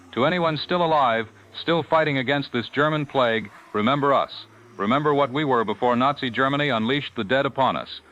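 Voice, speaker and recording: A man's voice: mid-range voice, a bit bright, dull audio, lightly acted. A man playing a grave, solemn newsman making a final broadcast in a 1940s-style radio drama. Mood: solemn farewell.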